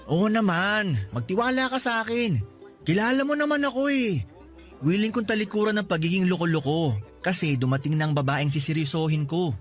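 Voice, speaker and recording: A man's voice: high-pitched, lively intonation, fairly quick, faint music behind. An actor playing a playful young man who is a reformed womanizer, teasing and boastful in a radio drama.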